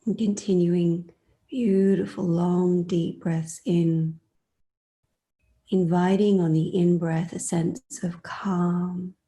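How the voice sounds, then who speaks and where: A woman leading a guided meditation in a soft, sometimes breathy voice, soothing and gentle, leaving long pauses between phrases. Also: lowish voice, steady tone.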